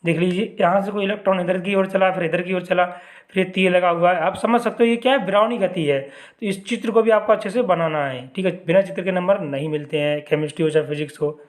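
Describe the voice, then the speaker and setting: High-voiced male teacher with sore throat. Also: steady tone, fast. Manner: repeats points as questions and answers them himself, adds "ji" to sentences. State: sore throat, clearing it often. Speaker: chemistry teacher. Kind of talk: exam-prep lesson explaining diagrams.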